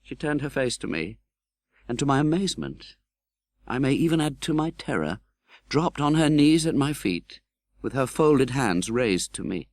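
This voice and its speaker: A British man playing an earnest, tender-hearted gentleman who recounts his own life in a dramatic, measured audiobook narration. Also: medium pitch, big pitch swings, no room sound, studio quality. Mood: earnest, grave. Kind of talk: dramatic literary audiobook narration in first person.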